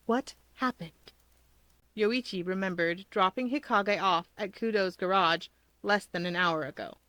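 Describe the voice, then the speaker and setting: A woman's voice: medium pitch, moderate pace, a bit bright, no echo. A woman reading scripted fiction as a story narrator.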